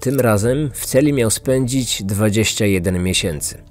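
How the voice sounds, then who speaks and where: A man's voice: fairly low pitch, normal speed, a little mellow. A man narrating a scripted true-crime podcast. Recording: music underneath.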